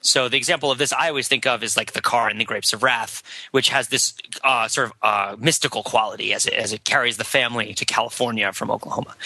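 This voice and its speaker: A hoarse man talks at length on a podcast, restarting his sentences often and poking fun at himself. His voice is very bright and sharp-edged. The recording is dry and close. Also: mid-range voice, no echo, poor audio. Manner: rambling, self-deprecating, with many restarts. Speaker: podcast panelist. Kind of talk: casual podcast discussion.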